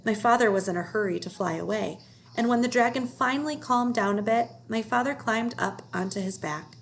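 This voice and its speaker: A woman reading a children's story aloud as an expressive storyteller. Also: on the high side, brisk, gravelly, a little hiss or hum.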